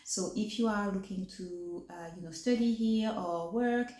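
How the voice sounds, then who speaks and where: A woman's voice: mid-range voice, unhurried, dark tone, reverberant. A woman from France with a French accent, speaking English as a second language in a casual vlog chat, her words slightly affected by new braces and broken up by fillers. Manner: articulation a little off from new braces; hesitant, many fillers and restarts. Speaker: vlogger.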